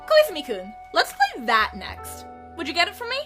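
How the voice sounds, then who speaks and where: Woman acting a very high-pitched bossy teenage girl. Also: wildly swooping pitch, normal speed, sharp tone, faint music behind, exaggerated voice. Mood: bossy, eager. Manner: brash, commanding. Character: bossy, brash, boastful teenage girl club leader. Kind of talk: anime-style audio drama acting.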